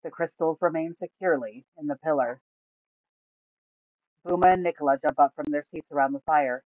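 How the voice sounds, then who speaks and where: A woman's voice: low voice, normal speed, no room sound. A woman reading scripted fantasy story narration from a tabletop campaign. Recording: thin, phone-like, dry sound.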